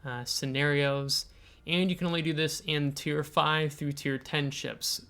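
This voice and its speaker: An American man hosting a video game tutorial, explaining things step by step in a halting way with frequent filler pauses. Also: mid-range voice, bright, forceful tone, smooth. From United States. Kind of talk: explanatory game tutorial, semi-scripted screen walkthrough.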